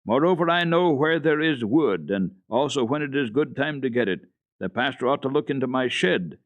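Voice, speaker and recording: A man's voice: medium pitch, lively intonation, moderate pace, dry recording, phone-quality sound, pitched above the performer's own voice, close to an everyday voice. A man voicing a stubborn, bitter old mountain grandfather in a storybook reading, speaking firmly and bluntly. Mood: proud, firm. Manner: decided, firm, blunt.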